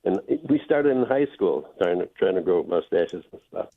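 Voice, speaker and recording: A man's voice: deep voice, lively intonation, normal speed, phone-quality sound. A man calling in to a radio show, joking around and playfully refusing to give his pick away. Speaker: radio caller. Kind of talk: phone-in chat.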